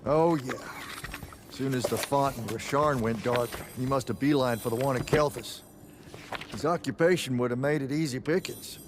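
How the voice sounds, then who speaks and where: An actor playing a blunt, shrewd older recluse in a video game, grumbling in a folksy way. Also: male voice, medium pitch, normal speed, noisy background, lightly acted. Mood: grave, knowing.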